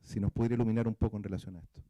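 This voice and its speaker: A man's voice: lowish voice, fairly even intonation, rapid-fire, warm tone, dry recording. A man moderating a panel discussion and putting a question to a participant.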